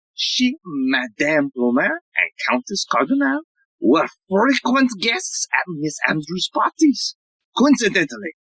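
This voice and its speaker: A woman playing a pompous, self-important police inspector in a theatrical audio play, lecturing with dramatic pauses. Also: a bass voice, a bit bright, slightly rough, dry recording, full character voice, low-quality recording.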